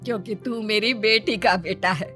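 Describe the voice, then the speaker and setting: A woman's voice: medium pitch, moderate pace, pushed and bright, faint music behind, natural voice. A woman playing a doting, nagging elderly Indian grandmother in a family cartoon story, fussing and exclaiming. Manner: scolding, fussing, exaggerated exclamations.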